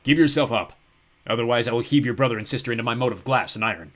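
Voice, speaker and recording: A man playing a booming, gloating ogre lord. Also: fast talker, a bit bright, lightly acted. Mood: menacing. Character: huge ancient ogre lord, boastful and cruel. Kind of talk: audiobook character voice.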